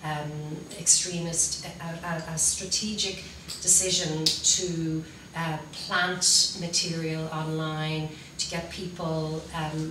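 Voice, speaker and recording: A woman in her forties with an Irish accent, earnestly speaking on a panel about youth work. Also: low-pitched. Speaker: panellist, youth service worker. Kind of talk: panel discussion. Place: meeting room, seated at a panel table. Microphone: table mic on a stand, recorded from a distance. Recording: roomy, distant, with background noise.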